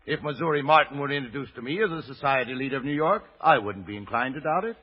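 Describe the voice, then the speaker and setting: A man's voice: medium pitch, animated, fast, husky. A male actor playing a florid, pompous, sentimental old pool hustler in a vintage radio drama. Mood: smug, persuasive. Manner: pompous, flowery, theatrical. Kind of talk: 1930s-40s American radio drama acting. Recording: muffled, dull vintage radio recording.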